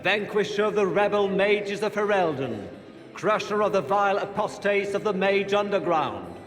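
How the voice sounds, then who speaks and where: An actor playing a pompous court herald, proclaiming titles formally and ceremoniously. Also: male voice, high voice, lively intonation, normal speed. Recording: long reverb of a grand hall.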